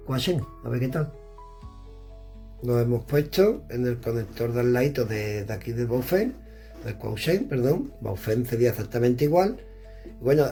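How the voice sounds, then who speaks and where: A middle-aged man talks in a relaxed, dark-toned voice at a middle pitch. He chats and thinks aloud while fiddling with radio equipment indoors. Faint music sits in the background. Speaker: radio gear reviewer. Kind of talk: casual product demonstration.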